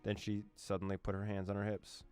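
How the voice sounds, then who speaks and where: Low-voiced man reading a game aloud. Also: monotone, brisk, faint music behind. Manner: playful, laughing asides, dramatic reading. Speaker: let's play host. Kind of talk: reading a visual novel aloud with reactions.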